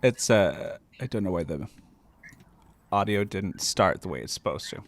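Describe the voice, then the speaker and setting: A man's voice: low voice, expressive, moderate pace. A man running a stream, talking off the cuff in a good-humoured, apologetic way. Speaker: stream technician. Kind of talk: casual off-the-cuff troubleshooting.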